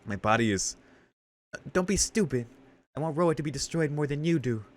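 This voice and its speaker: Man voicing an earnest young woman, pitched up. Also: lively intonation, fairly quick, a little hiss or hum, lightly acted. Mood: indignant. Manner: pleading, urgent. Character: earnest, protective young woman, anxious and indignant. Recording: music behind the voice.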